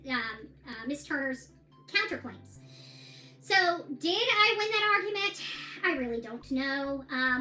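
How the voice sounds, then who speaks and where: A woman's voice: sits high, pushed and bright. An American woman speaking casually and giving her opinions in a video diary, often repeating herself for emphasis. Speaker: self-represented litigant recounting her court case. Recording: echoey room with music behind.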